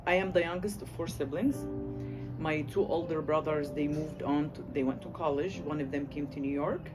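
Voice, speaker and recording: A man from Lebanon, a native Arabic speaker, speaking with a Lebanese Arabic accent and telling his story haltingly, with many pauses, in a documentary interview. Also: a little high. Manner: hesitant, many pauses and fillers. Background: background music.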